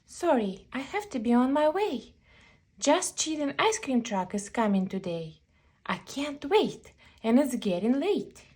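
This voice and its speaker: Woman voicing an eager, hurried storybook cat, high-pitched. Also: big pitch swings, darkish tone, husky, full character voice. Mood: hurried, apologetic. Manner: rushed, rhyming, emphatic delivery. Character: eager, hurried, kind young male cat.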